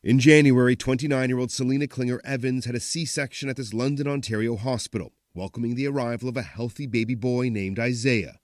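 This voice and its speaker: A man's voice: mid-range voice, steady tone, brisk, hardly any pauses, no room sound, clean studio sound. A man narrating a scripted television news report.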